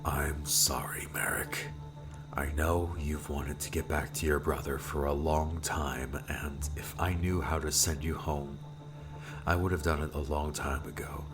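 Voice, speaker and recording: Man acting a low-voiced, troubled young adventurer. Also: fairly even intonation, measured pace, music in the background. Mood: hushed, sincere. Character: hurried, troubled young male adventurer pleading for help. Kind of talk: audiobook character acting.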